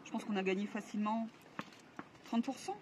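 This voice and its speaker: Woman in her 30s just chatting outside, average pitch, repeats herself a bit, noisy recording. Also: brisk. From France. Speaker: vlog interviewee, expatriate. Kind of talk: casual chat. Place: outdoors in a residential neighbourhood.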